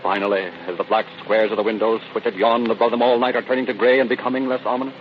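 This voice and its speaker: A man's voice: fairly low pitch, flat delivery, fast. A man narrating a scripted radio drama in a dramatic style. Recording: muffled, very noisy vintage radio recording.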